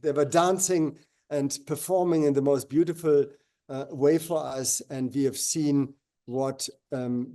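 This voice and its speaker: A man's voice: medium pitch, dark tone. Earnest man hosting a video call. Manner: earnest, halting with fillers and restarts. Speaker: host. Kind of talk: moderating a live video call.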